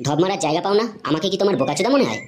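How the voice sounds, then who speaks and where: A woman's voice: on the low side, lively intonation, rapid-fire, lightly acted. A woman voicing a hot-tempered, foul-mouthed cartoon boy, brash and slangy, with a West Bengali accent. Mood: indignant. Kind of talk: comedy cartoon dialogue. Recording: clearly noisy background.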